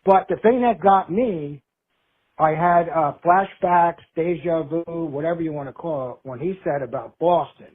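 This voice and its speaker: Higher-pitched husky American man, tinny phone. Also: a little slow. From New Jersey. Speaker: caller leaving a voicemail. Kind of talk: casual chat.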